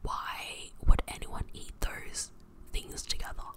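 A woman whispering into a close mic in a casual ASMR chat, softly repeating words and a little out of breath. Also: slow. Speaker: podcast host. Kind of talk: whispered ASMR chat doing online quizzes.

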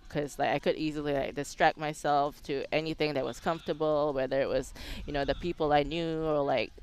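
A woman's voice: deep voice, clean tone. A woman from Singapore talking as an interview guest, candid and introspective in casual conversation, often dropping in "like" and "you know".

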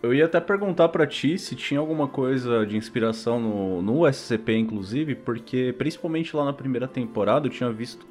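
A man's voice: medium pitch, a little fast, few pauses, a little mellow, faint music behind. A man with a Brazilian accent hosting a podcast in an informal, slangy, chatty way. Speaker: podcast host. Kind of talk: casual podcast chat.

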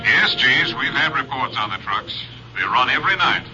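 Ranger captain over the phone. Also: male voice, medium pitch, animated, moderate pace. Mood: businesslike. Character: matter-of-fact male ranger captain on the telephone. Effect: telephone voice. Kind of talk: 1950s radio drama acting. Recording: dull old radio recording, music.

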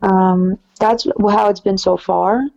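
A young woman singer-songwriter with a soft, slightly raspy low voice, chatting casually in an interview with lots of fillers. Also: a little slow, dull audio. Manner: relaxed, chatty, many fillers.

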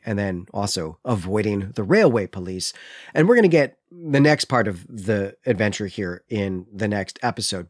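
A man's voice: mid-range voice, dry recording, clean studio sound. A man co-hosting a podcast, explaining and retelling a story in a conversational, lightly amused way. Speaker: podcast co-host. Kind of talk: conversational literary recap and commentary.

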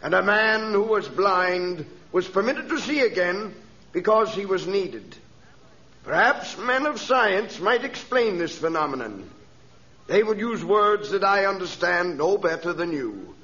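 An actor playing a gentle, devout missionary reverend with a solemn sermon delivery in 1930s radio drama style. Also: male voice, high-pitched, very animated, a little slow, heavily acted. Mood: solemn preaching. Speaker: missionary preacher. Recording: dull old radio transcription.

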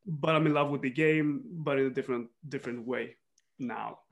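Gravelly man, reflective guest. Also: mid-range voice, fairly even intonation, moderate pace, highs cut off. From northern Sweden. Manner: thoughtful, many restarts and fillers, laughs often. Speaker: guest, football coach. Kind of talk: reflective interview talk.

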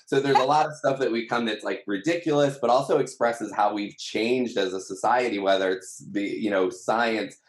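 Low-voiced man in an echoey room. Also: normal speed, hardly any pauses. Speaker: team member at an audio startup. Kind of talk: casual explanatory panel talk. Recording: roomy, echoing sound.

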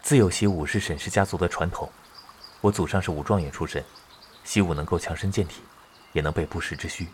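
A man playing a gentle, doting village schoolteacher in an ancient-China romance audio drama, tender and composed. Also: low voice, brisk, slight background noise, lightly acted. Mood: fond, composed.

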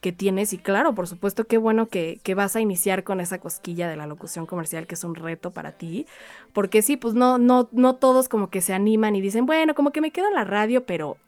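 A woman's voice: medium pitch, a little fast, a little mellow, no room sound. A woman with a Mexican accent hosting a podcast, chatting casually in an effusive, encouraging way. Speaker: podcast host. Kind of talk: casual chat, interviewing.

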